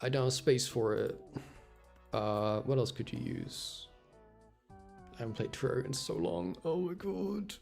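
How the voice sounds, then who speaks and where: A man's voice: mid-range voice, unhurried, creaky, long pauses, a little mellow, faint music behind. A young man doing a casual gaming livestream, rambling and thinking aloud as he goes. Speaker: game streamer. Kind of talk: casual gaming stream chat.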